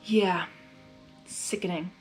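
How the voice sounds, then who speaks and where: A woman's voice: on the low side, steady tone, takes it slowly, soft background music. A woman voicing a scheming, easily exasperated male trickster of chaos in a fan fiction reading, theatrical and grumbling. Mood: reluctant.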